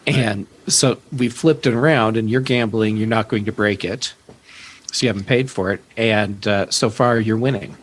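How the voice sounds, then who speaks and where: A man's voice: lowish voice, moderate pace, slightly rough. A man speaking as a podcast guest in casual tech chat, conversational and emphatic.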